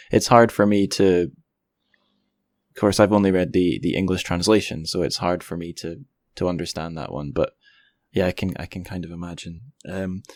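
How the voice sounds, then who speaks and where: A man's voice: sits low, darkish tone, no room sound. A man hosting a podcast interview, casual and tentative, thinking aloud as he talks.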